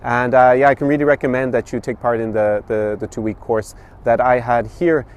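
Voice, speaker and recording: A man's voice: medium pitch, fairly even intonation, fairly quick, smooth, lo-fi sound. A man in his thirties vlogging to camera outdoors in a city, giving a casual spoken review with many fillers and restarts. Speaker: travel and language vlogger. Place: outdoors in a city street by a statue.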